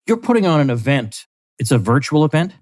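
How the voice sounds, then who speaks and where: A man hosting a podcast interview, asking measured, clarifying questions. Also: on the high side, very animated, darkish tone, no echo. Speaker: podcast host.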